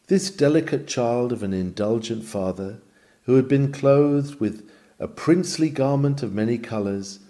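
A man reading a sermon aloud in a solemn, measured, formal manner. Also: medium pitch, mellow. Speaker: reader of a sermon.

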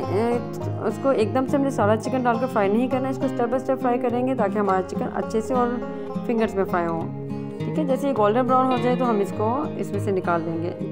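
A woman giving a casual step-by-step recipe voice-over as a cooking channel host, mixing English words into her talk. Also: fairly high pitch. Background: guitar music.

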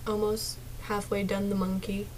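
A young woman streaming a game from her small dark bedroom, chatting casually to viewers with a sore, partly lost voice and drifting into mumbles. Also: medium pitch, completely flat delivery, normal speed, a little hiss or hum, poor audio. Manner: soft-spoken, drifts into whispers and mumbles. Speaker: gaming streamer.

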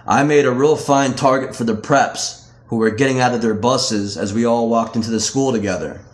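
A man's voice: mid-range voice, normal speed, reverberant. A man reading his own novel aloud as scripted audiobook narration.

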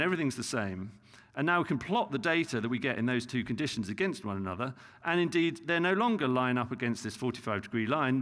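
A man's voice: medium pitch, fairly bright tone. A middle-aged man with a British accent giving a conference keynote, explaining results with many fillers and restarts. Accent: British English. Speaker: keynote speaker. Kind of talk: conference lecture. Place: large conference hall. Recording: hall reverb.

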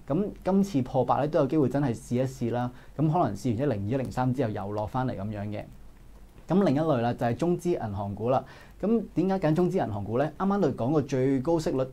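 A man in his thirties with a Hong Kong Cantonese accent, giving brisk analytical stock market commentary in a studio. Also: mid-range voice, dark tone. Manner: brisk, analytical, frequent filler particles. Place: indoor studio.

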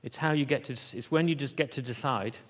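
A man giving a conference keynote in an auditorium, talking in a halting way with many fillers and restarts. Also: medium pitch, a bit bright. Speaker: keynote speaker.

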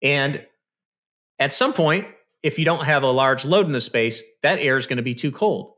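Bright-voiced man explaining HVAC. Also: mid-range voice, animated, moderate pace. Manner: conversational, explains step by step with frequent "you know" and "okay". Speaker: HVAC presenter. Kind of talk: technical presentation over slides. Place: small indoor room. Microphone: studio mic on stand with headphones, video call.

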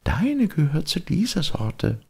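A man voicing a gentle, teasing young fairy woman in a storybook reading, soft, patient and sisterly, with a light breathy voice. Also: fairly high pitch, wildly swooping pitch, slow, pitched above the performer's own voice, exaggerated voice. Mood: amused, knowing. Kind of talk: audiobook storytelling.

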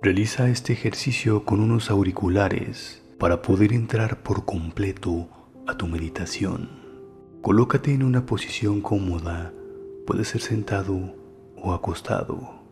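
Slow, low-voiced man guiding meditation. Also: a little mellow. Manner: soothing, unhurried instruction. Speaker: guided meditation host. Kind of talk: guided meditation narration. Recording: voice over music and water sounds. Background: relaxation music, running water.

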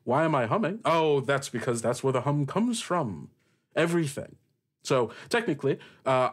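A man's voice: medium pitch, slightly rough. A man voicing a friendly, flustered talking magic book that rambles familiarly in improvised tabletop roleplay.

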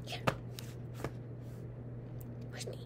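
A young woman playing a haughty, jealous teen vampire girl in a comedic roleplay, blunt and sarcastic with whispered asides, recorded on a webcam in a dim bedroom. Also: extremely slow. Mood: scolding. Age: young adult. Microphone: webcam at close range. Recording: noisy home webcam audio.

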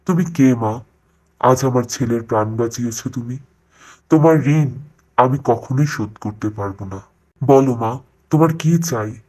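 A man's voice: medium pitch, unhurried, pauses often, dark tone, lightly acted, low-quality recording. A man playing a grateful, generous old king, speaking in a formal fatherly way in a cartoon fairy tale.

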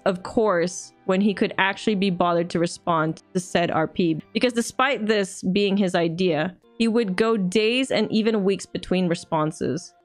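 A young woman streamer reading a viewer's story aloud in an earnest, steady way, then reacting in loose, chatty talk, recorded on a desk mic at home. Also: mid-range voice.

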